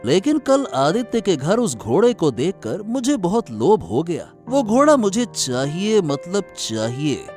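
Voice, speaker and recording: A man's voice: high voice, huge pitch swings, measured pace, few pauses, a little mellow, faint music behind, full character voice. A man voicing a greedy, scheming royal courtier in a cartoon story, with an oily, insinuating manner. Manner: oily, insinuating, talks aloud to himself. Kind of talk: animated moral story acting.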